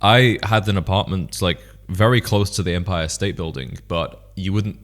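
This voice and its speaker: A man talking as a podcast host, articulate and measured in a conversational interview style. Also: low-pitched, a bit bright.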